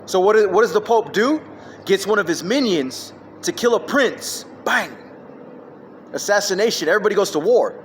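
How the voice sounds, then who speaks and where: A man with a high-pitched voice delivers a rambling solo rant into his phone. He leaps between topics with emphatic, scattered energy. A faint hum of noise sits behind him. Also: animated, normal speed. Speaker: vlogger.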